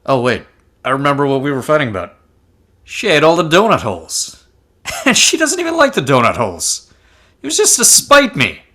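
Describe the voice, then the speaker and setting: Man acting an earnest, animated sentimental ghost. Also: on the high side, normal speed, exaggerated voice. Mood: emotional, indignant. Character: earnest, sentimental male ghost reminiscing about his wife. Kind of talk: amateur table read acting over video call.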